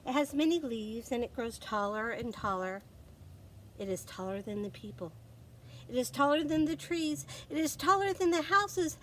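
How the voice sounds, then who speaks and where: A woman storyteller reading a picture book aloud to young children, expressive and dramatic, with deliberate pauses and emphasis. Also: sits high, a little hiss or hum. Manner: expressive, dramatic pauses, gasps and exclamations for effect.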